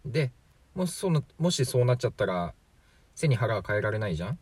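A man's voice: medium pitch, sing-song, quick pace, darkish tone, slightly rough, no echo. A man playing a chatty, flippant 43-year-old from the future in a radio drama, glib and matter-of-fact. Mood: explaining, casual. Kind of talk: amateur radio drama acting.

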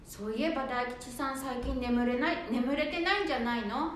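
A woman acts out a fretful, excitable wife in a radio drama, in a high-pitched voice. The room echoes heavily, with a faint rumble. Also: brisk, close to an everyday voice. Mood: concerned. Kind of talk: amateur radio drama acting.

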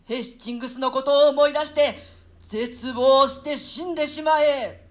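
A woman voicing the ghost of a betrayed lord in a radio play reading, shouting loud curses. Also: high-pitched, fairly even intonation, moderate pace, natural voice. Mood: furious.

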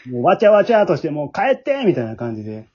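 Husky Kansai man with lively pitch swings. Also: racing. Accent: Kansai Japanese. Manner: chuckles often while talking. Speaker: host of an amateur radio drama group's talk show. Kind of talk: casual moderated group chat. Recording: dull, lo-fi audio.

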